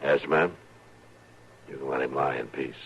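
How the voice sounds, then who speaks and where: Very deep, gravelly frontier marshal. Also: male voice, fairly even intonation, dull audio, exaggerated voice. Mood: calm, firm. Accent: American. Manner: measured, restrained, polite. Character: steady, weary frontier marshal, calm but firm. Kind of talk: 1950s radio western acting. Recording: old noisy radio recording.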